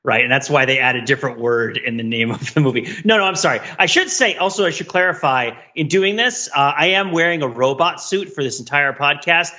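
A man with a forceful, bright voice that sits a little high, co-hosting a casual film discussion. He rambles and digresses in an animated, wryly analytical way. The audio is slightly dull and lo-fi. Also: fairly quick, few pauses. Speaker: podcast co-host.

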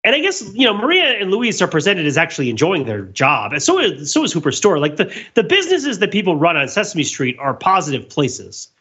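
A man's voice: on the high side, wildly swooping pitch, a little fast, few pauses, sharp tone, breathy edge, highs cut off. A man hosting a podcast, talking casually in a rambling, analytical way. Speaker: podcast host. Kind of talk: casual discussion of a children's TV special.

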